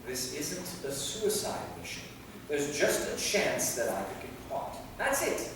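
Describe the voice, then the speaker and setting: A man's voice: fairly high pitch, very animated, a little slow. A man acting an anxious, earnest, centuries-old vampire knight in a live staged play reading. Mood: earnest, pleading. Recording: echoey hall from far off, faint noise.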